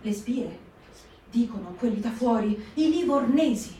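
A woman's voice: a little high, animated, warm tone. A woman voicing a townswoman telling of urgently warning a fugitive to flee. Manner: urgent, hurried storytelling. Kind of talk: stage reading for radio. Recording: echoey room, distant mic.

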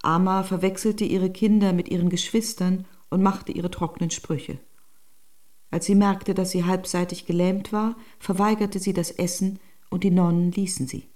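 A daughter-in-law played by an actress recalls her family in a radio drama monologue. Her female voice sits on the low side, composed and fond, telling anecdotes at an unforced, storytelling gait. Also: little pitch movement, normal speed, darkish tone, close to an everyday voice. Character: daughter-in-law fondly recounting family memories.

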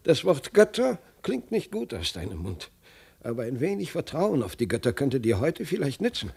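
Creaky, husky old emperor. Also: male voice, mid-range voice, huge pitch swings, normal speed, full character voice. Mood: mildly reproachful. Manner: hesitant, mumbling, gently wry. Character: weary, mild, absent-minded old emperor and father. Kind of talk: 1950s German radio drama acting. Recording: 1950s radio studio recording.

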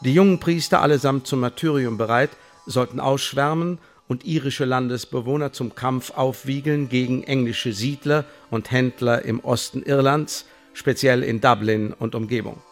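An actor playing a calculating intelligence official in a radio play, speaking in a formal, measured, officious way with quiet menace. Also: male voice, medium pitch, fairly even intonation, soft background music, lightly acted. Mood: clinical, formal.

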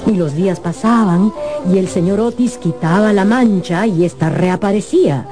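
A woman narrating a scripted radio story in a measured, dramatic storytelling manner. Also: mid-range voice, music in the background.

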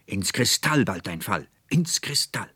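A man voicing a spiteful old market woman with a shrill, croaking, cursing voice from a storybook. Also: sing-song, a little slow, pushed and bright, no echo, studio quality, heavily acted. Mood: gloating fury.

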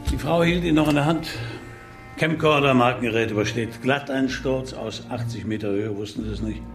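Guy doing a hardboiled detective in a radio play, creaky gravel voice, mocking, music behind. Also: mid-range voice, lightly acted. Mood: mocking, needling. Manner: sardonic, taunting, halting. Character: cynical, hard-boiled male police inspector, mocking and needling. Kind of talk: radio play interrogation dialogue.

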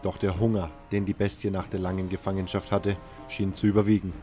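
A man's voice: deep voice, little pitch movement, fairly quick, dark tone. A man reading scripted first-person fantasy narration as the narrator of an audio drama. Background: music.

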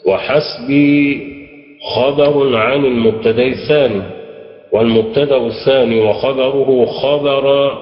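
A man's voice: mid-range voice, fairly even intonation, measured pace. A man with an Egyptian accent teaching a grammar class, explaining step by step and quizzing his students with prompting questions. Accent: Egyptian Arabic. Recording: dull lo-fi recording, echoey hall.

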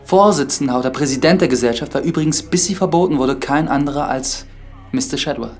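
A man's voice: fairly high pitch, quick pace, lightly acted. A young man acting an earnest, polite priest turned investigator in a German radio drama, courteous and explanatory. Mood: earnest, intent. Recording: studio radio drama with music underneath.

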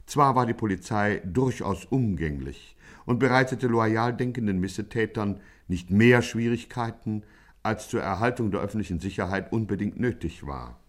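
An actor playing a pompous, mock-heroic narrator in a 1950s radio play, declaiming dramatically. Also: male voice, lowish voice, lively intonation, normal speed. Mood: grandly ironic. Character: pompous mock-heroic male chronicler of a gangster.

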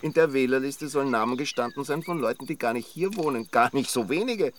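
A man's voice: medium pitch, a little fast, recorded from a distance, lightly acted. An actor playing a sardonic, gossipy village man in a radio drama, dry and knowing, with an Austrian accent. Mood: sardonic, amused. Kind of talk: conversational radio drama acting.

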